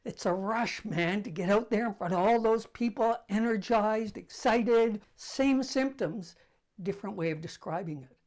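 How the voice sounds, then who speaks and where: An older man with a soft voice, hosting a casual talk to camera, telling stories in a conversational, self-deprecating way. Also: high voice, a little slow. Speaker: host.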